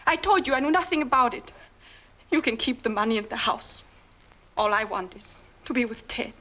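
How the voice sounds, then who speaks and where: A captive young wife in a 1940s film melodrama. Her voice is high and bright, earnest and full of pleading. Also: lively intonation, moderate pace. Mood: desperate, pleading. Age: young adult.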